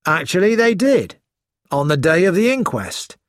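A man's voice: sits high, big pitch swings, normal speed, dry recording, studio quality. A man reading the part of a police inspector, curt and matter-of-fact. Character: brisk male police inspector.

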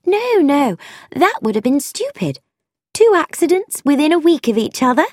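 A woman reading aloud and voicing an eager, quick-witted young woman sleuth, raising her voice higher and speaking emphatically. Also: sing-song, darkish tone, dry recording, studio quality. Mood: emphatic.

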